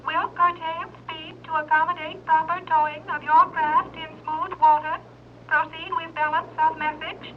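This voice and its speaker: A stern young submarine commander who gives clipped, curt orders in a very high, flat voice. It comes through as a tinny, narrow radio transmission on an old serial recording with faint hiss. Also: female voice, normal speed. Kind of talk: 1930s radio acting.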